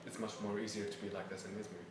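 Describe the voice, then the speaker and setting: A man's voice: lowish voice, flat delivery, quick pace. A young man in his early twenties from Syria, answering interview questions hesitantly with many fillers. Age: young adult. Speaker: interviewee, student. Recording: echoey room, distant mic, background noise.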